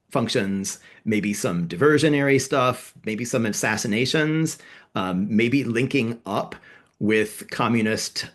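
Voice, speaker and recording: A man co-hosting a history podcast, explaining events in a casual, thinking-aloud way with fillers and self-corrections. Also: mid-range voice. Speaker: podcast co-host.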